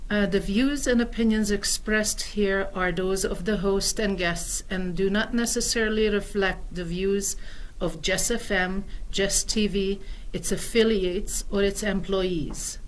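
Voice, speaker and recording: A middle-aged woman speaking English with a Hungarian accent as she hosts a community radio show. She reads a prepared introduction slowly and deliberately, weighing every word. Her pitch sits in a moderate middle range. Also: rough recording. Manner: slow, careful, deliberate delivery. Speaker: host.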